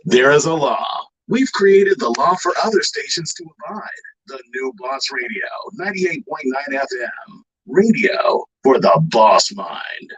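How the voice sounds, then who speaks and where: A man's voice: mid-range voice, very animated, measured pace, few pauses, a bit bright, slightly rough, low-quality recording. A man delivering a scripted radio station ident as an announcer. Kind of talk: scripted station imaging voice-over.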